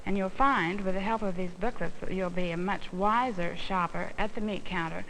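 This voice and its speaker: An American woman giving an instructional demonstration, explaining things in a deliberate, didactic way. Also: mid-range voice, fairly bright tone. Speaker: instructional film presenter, home economist. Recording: noisy old instructional film soundtrack.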